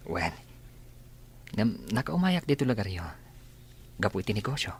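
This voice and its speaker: A man playing a curious, polite businessman in a radio drama, soft-spoken and inquisitive. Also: on the low side, sing-song, moderate pace, slightly rough, exaggerated voice. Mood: curious, concerned.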